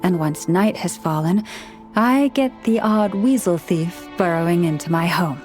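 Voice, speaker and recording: A woman voicing a gentle, earnest young woman who counsels animals in a video game, speaking in a measured, formal way. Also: fairly low pitch, natural voice. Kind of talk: video game character dialogue. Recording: studio voice over background music.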